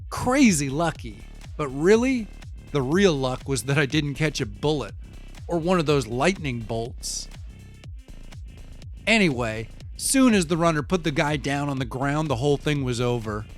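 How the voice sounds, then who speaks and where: A man playing a rattled, defensive young eyewitness in an audio drama, telling his story in a casual, slangy, confiding way. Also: on the high side. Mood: wry, emphatic. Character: rattled, defensive young male eyewitness, street-talking and wry. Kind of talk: first-person audio fiction monologue, conversational storytelling.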